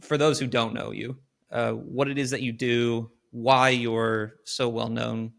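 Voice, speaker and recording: A man's voice: medium pitch, level tone, measured pace, vocal fry, a bit bright. A young man hosts a podcast interview in an ordinary mid-range voice. He asks long, earnest questions and sometimes stops to pick his words with a filler. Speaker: podcast host.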